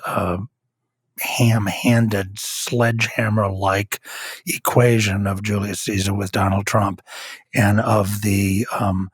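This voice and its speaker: A man giving reflective interview talk as a theater director, with halting, emphatic phrasing. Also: lowish voice, slightly rough, dry recording, clean studio sound.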